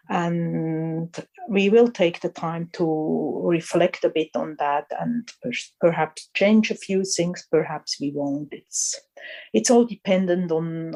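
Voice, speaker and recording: A woman guest talking casually in an interview, thoughtful and halting, with frequent small laughs. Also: lowish voice, dark tone. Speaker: magazine editor guest.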